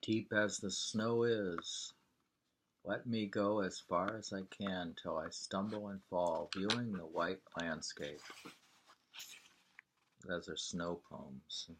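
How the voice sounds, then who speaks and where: A man reading poetry and travel prose aloud informally, in a halting, deliberate way. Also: low voice, a bit bright, slight background noise, low-quality recording. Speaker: reader of a poetry travel sketch.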